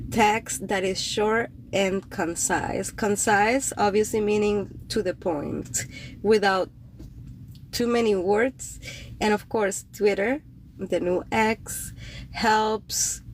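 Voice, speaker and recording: A woman's voice: mid-range voice, steady tone, slow, a little hiss or hum, low-quality recording. A woman from Cuba whose first language is Spanish, hosting a livestream podcast in English with a Cuban accent, chatting in a meandering way with many fillers. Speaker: podcast host and poet. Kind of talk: casual livestream chat greeting viewers.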